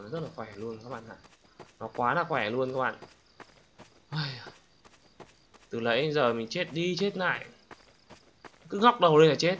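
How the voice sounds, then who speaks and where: A man giving excited, casual gameplay commentary as a gaming host, exclamatory and slangy, often repeating his words. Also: fairly high pitch, a little hiss or hum.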